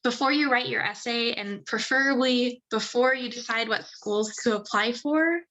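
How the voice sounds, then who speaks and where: A woman's voice: on the high side, normal speed, a bit bright, dull audio. A woman from a university admissions office giving a webinar talk over a video call, explaining things steadily with some "um" fillers. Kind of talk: webinar presentation.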